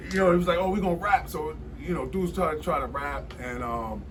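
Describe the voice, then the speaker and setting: Forties male guest, rambling and filler-heavy, a bit high-pitched, recorded from a distance with noise. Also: fairly quick, fairly bright tone. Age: 40s. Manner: rambling storyteller, many fillers and restarts. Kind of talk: casual studio conversation.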